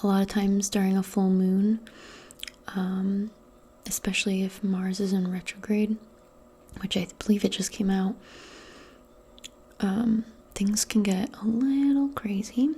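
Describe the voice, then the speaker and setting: Female ASMR role-player: slow, soothing, breathy near-whisper, faint noise behind the voice. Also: mid-range voice. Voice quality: breathy, mostly whispered soft voice. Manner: gentle, soothing, unhurried, conversational. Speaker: ASMR performer role-playing a modern witch. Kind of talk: soft-spoken ASMR personal attention role play.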